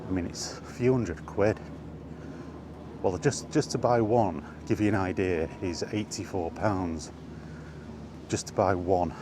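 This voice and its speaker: A man's voice: deep voice, a little slow, noisy background. A man vlogging casually about gaming in a rambling, plain-spoken way while out walking, recorded on a small wireless mic.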